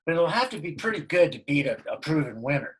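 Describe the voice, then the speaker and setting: A man's voice: fairly high pitch, very animated, quick pace, husky. A man playing an amiable, clueless sitcom dad in a table read over a video call. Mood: affable, puzzled. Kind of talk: comedy script table read. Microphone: computer on video call.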